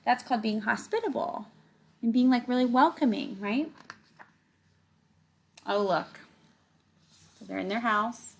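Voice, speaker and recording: A woman's voice: fairly high pitch, measured pace, gravelly, long pauses, a little hiss or hum. A woman reading a picture book aloud to children, with chatty asides and checking questions.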